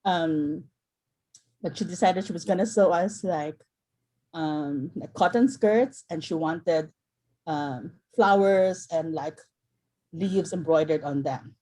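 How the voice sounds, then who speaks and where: A middle-aged woman talks in a low, mellow voice over a video call. She rambles warmly through her story with frequent ums, uhs and restarts, and often laughs mid-sentence. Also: slow. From Philippines. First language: Filipino. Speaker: workshop participant. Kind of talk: casual introduction in a group video call.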